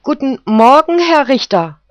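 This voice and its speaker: A narrator voicing a male poodle defense lawyer who objects in loud, high outbursts. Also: very animated, measured pace, no echo. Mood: indignant. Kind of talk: storybook character voice. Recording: dull, dry recording.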